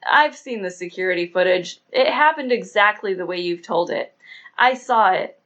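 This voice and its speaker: A man voicing a sober, skeptical female police inspector, reasoning in a measured, firm way in an audiobook. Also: high voice, a bit bright, highs cut off.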